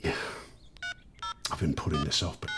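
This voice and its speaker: An actor playing a cynical, scheming gambling addict in an audio drama, sweary and sardonic, with a husky voice. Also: male voice, moderate pace, background noise, lightly acted. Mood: wry, hesitant. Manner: muttering to himself, sweary, sardonic. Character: troubled gambling addict, cynical, scheming, self-doubting man. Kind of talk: naturalistic audio drama acting, part soliloquy.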